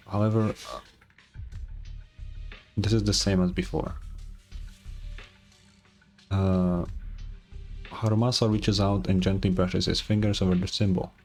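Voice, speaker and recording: A man's voice: on the low side, a little mellow. A man playing a video game, reading story narration aloud and adding murmured asides, with game music behind him. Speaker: game streamer. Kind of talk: gameplay commentary with read-aloud story narration.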